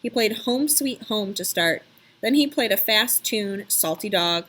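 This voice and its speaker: A woman narrating a children's story aloud in an expressive, emphatic storytelling style. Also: mid-range voice, measured pace.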